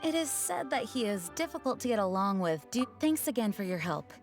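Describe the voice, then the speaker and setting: An actress playing an earnest, courteous, slightly weary knight commander in a video game, speaking formally. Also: female voice, a little high, sing-song. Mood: courteous, supportive. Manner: formal, measured wording. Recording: background music.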